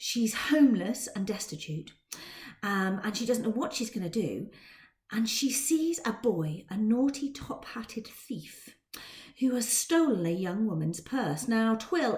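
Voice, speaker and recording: A woman teacher and author talking to students and reading a story aloud in a dramatic, expressive way. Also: medium pitch. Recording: roomy indoor echo.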